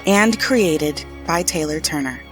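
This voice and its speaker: A woman reading scripted storybook narration in an expressive, unhurried manner. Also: deep voice, over music. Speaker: author and narrator.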